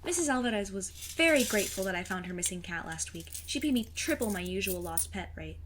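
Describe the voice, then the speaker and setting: A woman's voice: mid-range voice, expressive, fairly quick, fairly bright tone, slight background noise, lightly acted. A woman playing an earnest, plucky fifteen-year-old girl in an audio drama, with a literal, matter-of-fact manner.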